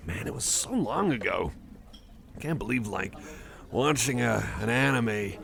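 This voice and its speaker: A man's voice: mid-range voice, gravelly. A man voicing a shy, nerdy, eager cyborg card collector in an ASMR roleplay, chatting casually in a hesitant, rambling, apologetic way. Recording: cafe crowd murmur behind the voice.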